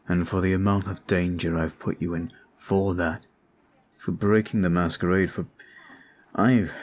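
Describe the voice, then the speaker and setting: A man voicing a devoted young male vampire in an ASMR roleplay audio. He speaks in an earnest, formal, quiet way. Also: deep voice, slight background noise.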